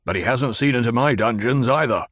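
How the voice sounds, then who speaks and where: A man's voice: mid-range voice, fairly quick, a bit bright, no room sound, clean studio sound, lightly acted. A man doing a petulant, blustering baron. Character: fat petulant baron, older man, demanding.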